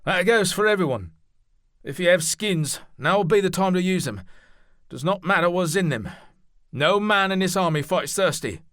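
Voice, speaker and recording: A man's voice: sits high, lively intonation, moderate pace, a bit bright, voice pushed up. A man reading a novel aloud, voicing a cheerful soldier who calls out heartily to the ranks. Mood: rallying. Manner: hearty, calling out to a crowd. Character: cheerful male soldier rallying troops.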